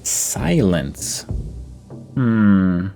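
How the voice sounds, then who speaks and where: A man's voice: fairly low pitch, very animated, drawn-out words, creaky, dark tone, soft background music. A man doing casual gameplay commentary, thinking aloud in a hesitant, musing way that trails off mid-thought. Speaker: video game player and commentator.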